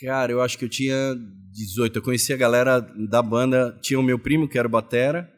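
A man in his forties with a Brazilian accent and an average-pitched voice. He talks casually as a guest, in informal, slangy language, as if among friends. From Salvador, Bahia, Brazil; moved to São Paulo state as a child. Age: 40s. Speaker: interview guest. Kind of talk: casual chat.

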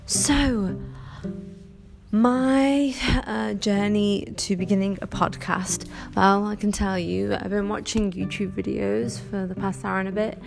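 A woman with a Scouse accent hosting a casual solo podcast, rambling and chatty with many ums and quick self-corrections. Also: mid-range voice, steady tone, vocal fry, a little mellow, faint music behind. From Northwest England. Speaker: podcast host.

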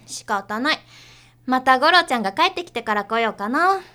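Perky young idol girl, acted by a woman, high, bright, girlish, breezy, pushy, radio drama. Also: expressive, a little fast.

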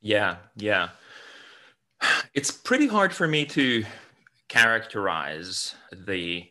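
A man's voice: fairly low pitch, big pitch swings, slow, bright, forceful tone. A middle-aged man from Finland, talking about his life as an interview guest, often restarting and repeating words. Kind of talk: casual interview talk.

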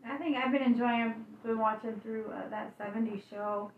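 A woman's voice: fairly high pitch, steady tone, moderate pace. A woman co-hosting a podcast, chatting casually, her voice still recovering from laryngitis, laughing easily. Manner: laughs easily, brief replies. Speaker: podcast co-host. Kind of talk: casual chat. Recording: dull, roomy, distant, faint noise.

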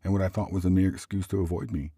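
A man voicing an earnest, relieved husband in debt in a storybook reading, speaking in a measured way. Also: low voice, flat delivery, mellow, slightly rough, no echo, clean studio sound, voice pushed up, full character voice.

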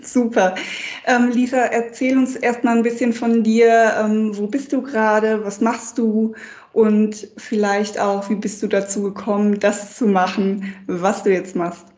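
A woman's voice: medium pitch, level tone, a little slow, darkish tone. A woman hosting a podcast, speaking in an upbeat presenter style as she introduces and interviews a guest.

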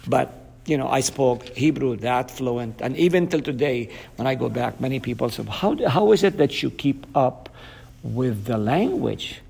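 A man's voice: mid-range voice, lively intonation, moderate pace, creaky, darkish tone, husky. A middle-aged man from Palestine, a pastor whose first language is Arabic, talking earnestly and casually with a group. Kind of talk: casual talk with an audience.

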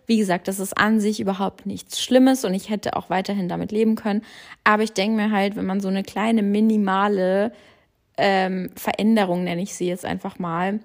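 A young woman hosting her own podcast, chatting casually and rambling with lots of fillers and stray English words. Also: medium pitch. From born in Australia, raised in Germany. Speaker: podcast host. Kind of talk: casual solo podcast chat.

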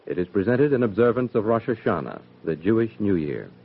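A man making a formal, measured radio announcement in a 1960s broadcast. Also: on the low side, very animated, vocal fry, narrow, tinny audio, background noise.

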